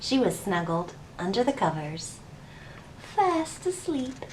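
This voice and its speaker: A woman's voice: medium pitch, sing-song, slow pace. A woman hosting a children's storytime in a sing-song, questioning manner, giving instructions and reading picture books. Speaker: children's library storytime host. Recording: roomy, distant mic, faint background noise.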